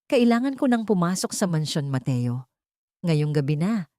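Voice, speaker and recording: A woman's voice: fairly low pitch, very animated, moderate pace, mellow, no room sound, clean studio sound. A woman with a Filipino accent voicing a humble, resolute housemaid in an audiobook drama. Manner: firm, heartfelt. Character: steadfast humble housemaid, wronged but resolute young woman. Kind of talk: audiobook character dialogue.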